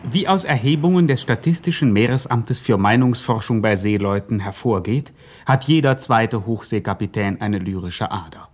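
Deadpan male radio play narrator. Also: on the low side, normal speed, husky.

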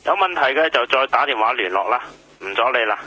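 An actor playing a chatty, helpful church pastor in a radio drama, speaking haltingly with a Hong Kong Cantonese accent. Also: male voice, medium pitch, very animated, background noise, close to an everyday voice. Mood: chatty, explaining. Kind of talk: radio drama acting, conversational.

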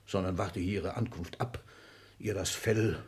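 An actor playing a domineering, vain seventy-year-old industrial magnate in a 1960s radio drama, imperious and declamatory. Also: male voice, low-pitched, fairly even intonation, measured pace. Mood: boastful, fiery. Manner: imperious, declamatory, bursting from muted asides into shouts.

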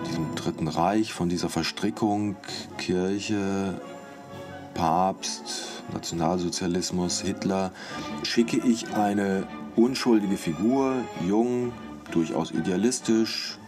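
A man giving analytical commentary, building his thoughts in short halting phrases. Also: sits low. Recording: prominent music behind the voice.

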